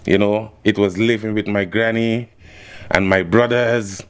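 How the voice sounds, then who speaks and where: Laid-back, low-voiced Guyanese man. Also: measured pace, clear, resonant tone. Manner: relaxed, laid-back, repeats "yeah, man". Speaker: guest musician. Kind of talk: casual interview.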